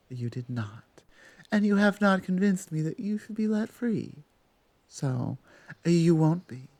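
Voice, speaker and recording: A woman playing a stern female minotaur guard captain with a soft heart in an ASMR roleplay, speaking deliberately with weighty pauses. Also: low voice, no room sound, exaggerated voice. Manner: deliberate, pausing, commanding. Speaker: audio roleplay performer. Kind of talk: ASMR roleplay acting, addressing the listener.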